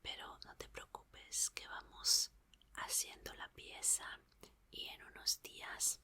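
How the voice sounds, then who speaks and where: A woman playing a reassuring, curious young female mechanic in an ASMR roleplay, speaking in a soft, breathy, soothing whisper. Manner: soft, unhurried, soothing murmur with repeated phrases.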